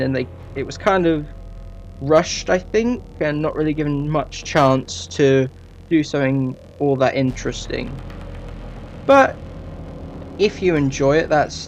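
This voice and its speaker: Conversational, higher-voiced man reviewing. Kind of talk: informal audio drama review commentary.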